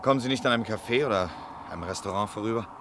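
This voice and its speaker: A man's voice: on the low side, very fast, fairly bright tone, natural voice. An actor playing a lost, courteous male traveller in a German radio drama, polite and hesitant in manner. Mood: uneasy, pleading. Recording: noisy outdoor street ambience behind voice.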